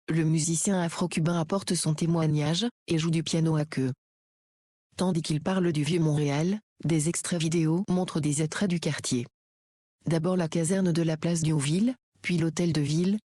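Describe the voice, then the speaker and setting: A woman reading a scripted audio description in a steady, even way. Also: low voice, dry recording, clean studio sound. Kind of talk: scripted narration.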